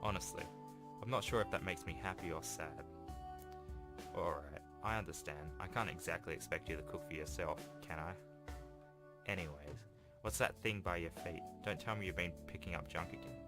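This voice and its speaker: Man reading as a deep-voiced, exasperated teenage boy. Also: normal speed, over music, lightly acted. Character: patient, exasperated teenage boy from visual novel. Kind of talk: visual novel dialogue read aloud.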